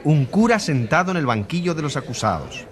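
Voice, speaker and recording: A man playing a grave radio reporter in a drama, narrating with a husky voice and declamatory, rhetorical delivery. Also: fairly high pitch, very animated, fairly quick, a crowd in the background. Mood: grave, dramatic. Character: grave male radio reporter covering a controversial trial.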